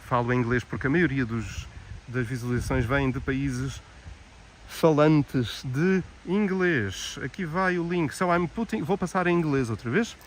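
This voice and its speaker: A man from Portugal with a Portuguese accent, chatting casually and a little haltingly as he hosts a live walking tour. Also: medium pitch. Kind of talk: casual live vlog chat. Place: outdoors, walking through a village. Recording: clear outdoor ambience and traffic noise.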